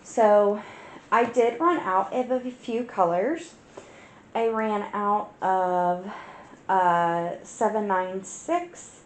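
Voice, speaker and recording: A woman hosting a casual crafting vlog, stuffy and sniffly from a cold, talking in an unhurried way with plenty of ums and restarts. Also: mid-range voice, reverberant. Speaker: crafting channel host. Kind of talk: casual show-and-tell vlog.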